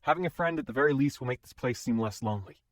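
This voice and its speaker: A man's voice: mid-range voice, fast, no echo, close to an everyday voice. A man playing a polite, level-headed adventurer with formal wording in a fantasy audio drama. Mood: reasoning, sympathetic.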